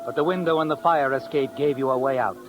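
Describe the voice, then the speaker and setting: A man playing the knowing, taunting narrator of a 1950s radio mystery, addressing the schemer with dramatic pauses. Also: medium pitch, fairly even intonation, lightly acted. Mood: ominous, knowing. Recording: old radio recording with music behind.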